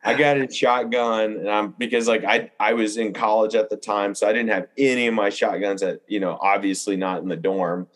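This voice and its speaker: A young man with a fairly low voice tells outdoor anecdotes in a casual podcast chat. He is animated and informal, leaning on "like" as he builds each story. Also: a little fast, few pauses.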